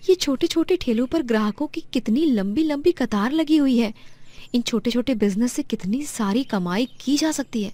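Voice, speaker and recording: A woman's voice: a little high, animated, normal speed, dark tone, no echo. A woman voicing a wronged pregnant young wife in a moral story, emotional and determined, with dramatic delivery. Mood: hopeful musing.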